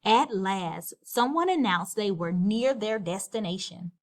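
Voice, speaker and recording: Woman reading a storybook aloud, medium pitch. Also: animated, a little slow. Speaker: storybook reader. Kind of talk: scripted storybook narration.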